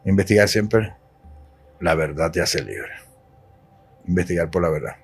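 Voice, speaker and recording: Cuban guy in his 40s, priest on a podcast, low voice, leans hard on words and says them twice. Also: a little slow, husky, faint music behind. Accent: Cuban Spanish. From Cuba. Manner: emphatic, preachy, repeats key words. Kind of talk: podcast interview.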